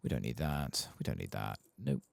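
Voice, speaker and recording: A man giving soft-spoken ASMR commentary over a game playthrough in an unhurried murmur. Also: very deep voice, lively intonation, gravelly, dry recording. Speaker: ASMR gaming streamer.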